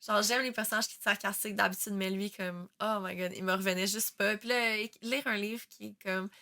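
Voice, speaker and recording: A woman's voice: medium pitch, steady tone, fairly quick, vocal fry, bright, forceful tone. A young woman who grew up speaking another language, with a Quebec French accent, co-hosting a podcast in chatty, laugh-filled talk. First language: not French. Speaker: podcast co-host. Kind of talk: casual chat.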